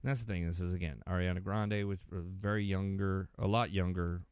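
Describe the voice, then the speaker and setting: A man's voice: sits low, fairly even intonation, brisk, a little mellow, smooth, dry recording. A middle-aged American man hosting a podcast in a casual, rambling, self-deprecating way. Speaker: podcast host. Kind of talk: casual chat.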